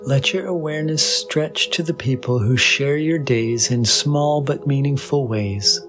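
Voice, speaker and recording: Male meditation guide, very slow pace, soothing and measured, long pauses, music playing underneath. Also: medium pitch. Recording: voice over background music with singing bowl.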